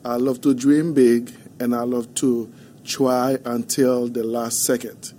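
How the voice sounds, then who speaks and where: A man from Haiti with a Haitian accent, a podcast guest telling his story in a soft, halting, earnest way. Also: medium pitch, level tone. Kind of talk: podcast interview. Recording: faint background noise.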